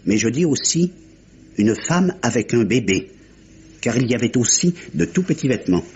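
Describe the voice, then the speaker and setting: An actor playing a laconic, dutiful police inspector in an old French radio mystery, speaking in deliberate, clipped phrases. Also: male voice, medium pitch, very animated, darkish tone, breathy edge, exaggerated voice. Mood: dogged, literal. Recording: muffled, lightly hissy old radio recording.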